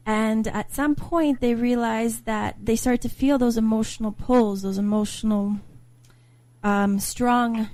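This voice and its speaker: A woman's voice: medium pitch, darkish tone. A woman from Slovakia, a guest author, earnestly explaining her points in a conversational interview and building them up as lists.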